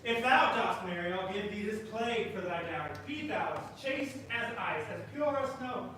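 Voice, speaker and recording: Man acting high-pitched bitter, ranting stage prince, echoey hall. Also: expressive, moderate pace, bright, forceful tone. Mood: furious ranting. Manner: exasperated, declamatory, mixing archaic verse with modern swearing. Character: actor character rehearsing Hamlet as a jealous, ranting prince. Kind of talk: stage play reading, theatrical. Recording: echoey hall from far off, faint noise.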